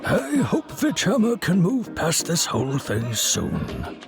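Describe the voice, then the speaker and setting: Friendly game merchant, high-pitched, swooping intonation, folksy, hesitant, sympathetic. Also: male voice, huge pitch swings, takes it slowly, gravelly, exaggerated voice. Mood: sympathetic, regretful. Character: friendly adult male merchant, sympathetic and reflective. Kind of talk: scripted game dialogue. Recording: music behind the voice.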